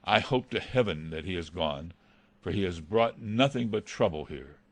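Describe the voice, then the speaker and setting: A man's voice: low-pitched, expressive, normal speed, dry recording. A man playing an anxious, deferential butler in a radio mystery drama, earnest and hesitant. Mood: uneasy, deferential. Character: anxious male butler, deferential, dreading villainy.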